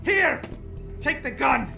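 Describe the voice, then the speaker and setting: Casting: broken, proud activist in a game drama, high-pitched and forceful, formal diction, bitter and remorseful. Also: male voice, lively intonation, takes it slowly, creaky, faint music behind, full character voice. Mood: angry, defiant. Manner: formal, eloquent, emotionally wrought. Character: despairing, guilt-ridden disgraced male activist, bitter and proud. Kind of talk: dramatic video game voice acting.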